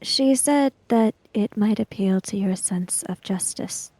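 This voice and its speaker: A woman playing a tabletop roleplaying game, speaking in character with careful, formal, halting phrases. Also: mid-range voice, mellow, dry recording.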